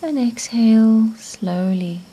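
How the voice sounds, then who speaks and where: A woman narrating a guided meditation in a gentle, soothing, hushed manner, with phrase endings fading toward a whisper. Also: fairly high pitch, slow pace, slight background noise, poor audio.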